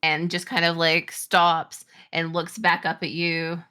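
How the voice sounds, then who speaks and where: Female game master, informal storyteller and referee, slightly low pitch, crisp bright edge. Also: monotone, slow. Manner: informal phrasing full of "like". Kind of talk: narrating and refereeing a tabletop game.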